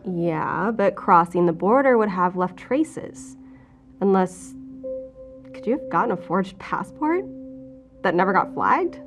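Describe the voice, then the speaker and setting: A woman playing a guarded, determined federal investigator in an audio drama, with a dry, measured, probing manner. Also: on the low side, expressive, soft background music. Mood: probing, reflective.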